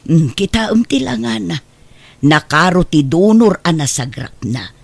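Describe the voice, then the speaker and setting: A woman's voice: fairly low pitch, big pitch swings, unhurried, vocal fry, no room sound, full character voice. Woman acting a protective middle-aged godmother, dry sound. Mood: reassuring, pleading. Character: protective, sympathetic godmother sheltering a runaway. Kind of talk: Ilocano radio drama acting.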